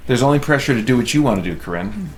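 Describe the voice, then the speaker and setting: A middle-aged man rambling casually in a halting way, thinking aloud as he hosts a game stream. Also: medium pitch, lively intonation, slight background noise, low-quality recording. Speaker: live stream host. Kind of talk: casual chat while playing a game.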